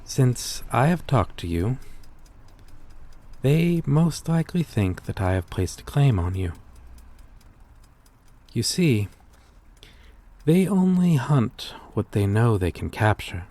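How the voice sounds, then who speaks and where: A man playing a courteous, melancholy vampire-like creature in an ASMR roleplay, speaking gently and intimately in formal, old-fashioned phrases. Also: mid-range voice, very animated, slow, pauses often. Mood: concerned, confiding. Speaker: roleplay voice performer.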